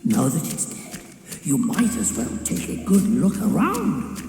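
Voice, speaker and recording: An actor playing a wry male guide in a mythic fantasy video game, with drawn-out, theatrical delivery. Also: a little high, huge pitch swings, gravelly, a little mellow, exaggerated voice. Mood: wry, casual. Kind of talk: game cutscene dialogue. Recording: cavernous reverb, distant voice, faint music.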